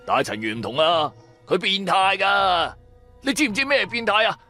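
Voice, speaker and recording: A man's voice: sits high, expressive, moderate pace, sharp tone, voice pushed up. A mouthy young sidekick of a radio drama, put on by a male narrator. He teases with mock innocence in a raised, swooping voice, with faint music behind. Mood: teasing. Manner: wheedling, teasing.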